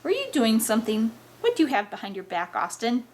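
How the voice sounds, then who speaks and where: A woman's voice: on the high side, wildly swooping pitch, brisk. Stern, weary mother, as a woman reader plays her. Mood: suspicious. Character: stern, suspicious, weary middle-aged mother.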